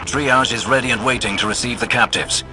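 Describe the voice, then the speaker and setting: A man's voice: mid-range voice, fairly even intonation, fairly quick, lightly acted. An actor playing a courteous, composed older marksman in a video game, with a British accent and a polite, understated, drily wry manner. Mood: composed, alert. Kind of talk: video game mission dialogue. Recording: music behind the voice.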